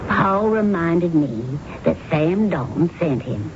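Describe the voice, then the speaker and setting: An actress playing a haunted, grief-broken woman, prematurely aged and ruled by her dead father, in intense, halting 1970s radio drama style. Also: fairly low pitch, expressive. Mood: distant, formal. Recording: dull, noisy old radio recording.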